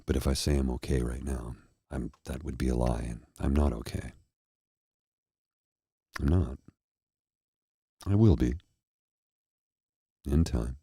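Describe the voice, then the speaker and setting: A man with a very deep, dark, husky voice speaks slowly and earnestly, a tired frog in his throat. The sound is dry and close, with no room around it. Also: no room sound. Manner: slow, earnest, heartfelt. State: tired and a little froggy-throated. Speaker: host. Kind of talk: candid personal talk to viewers.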